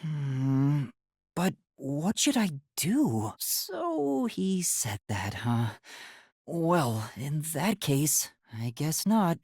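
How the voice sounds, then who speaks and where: A man voicing a timid, earnest teenage boy detective in a video game, with a soft youthful voice and a hesitant, polite, stammering way of talking. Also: on the high side, sing-song, drawn-out words, breathy edge, no echo, clean studio sound, heavily acted. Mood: puzzled. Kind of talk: English video game voice acting.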